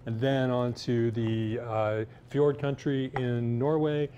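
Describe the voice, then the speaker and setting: Male guest cook, mellow relaxed voice, casual explanatory demonstration, hesitant phrasing, faint background noise. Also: medium pitch, slow. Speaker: guest cookbook author and cook. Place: TV kitchen segment.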